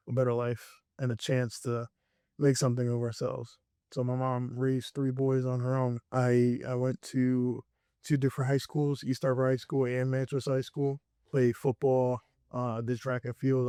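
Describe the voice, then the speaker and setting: A young man from Puerto Rico talks earnestly and reflectively as a guest, often restarting his sentences. His voice is smooth and resonant but stays level in pitch. The recording is dry and close. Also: mellow, no echo. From Puerto Rico, raised in Connecticut. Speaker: guest filmmaker. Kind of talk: casual interview storytelling.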